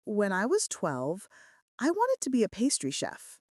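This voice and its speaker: A woman's voice: medium pitch, huge pitch swings, measured pace, a little mellow, dry recording. A woman voicing a practical, affectionate female assistant with dry wit in a romance audiobook. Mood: candid, wistful. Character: practical, wry, loving female assistant to mafia boss.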